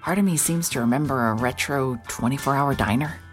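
Very low-voiced, hard-hearted female private eye. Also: fairly quick, faint music behind. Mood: wry, determined. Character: hard-hearted female private investigator, brooding noir narrator. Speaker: narrator and lead character. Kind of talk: first-person noir scripted narration.

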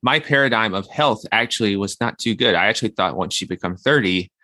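Bright-voiced, lower-pitched man in his thirties. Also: fairly quick, dry recording. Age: 30s. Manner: reflective, uses everyday analogies. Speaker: guest, health consultant. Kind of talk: conversational interview.

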